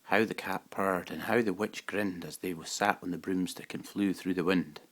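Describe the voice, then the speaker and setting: A man giving an expressive, dramatic reading of a rhyming children's picture book. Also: low voice, normal speed. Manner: dramatic, rhythmic rhyming delivery. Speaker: storybook reader.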